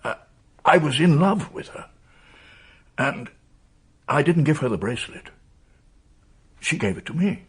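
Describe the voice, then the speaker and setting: A lovestruck, titled British gentleman in a radio play. His voice is very husky and swings widely in pitch, with a halting, earnest, confessional manner. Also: animated, heavily acted. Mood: flustered, confessing. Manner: hesitant, halting. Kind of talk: radio drama acting.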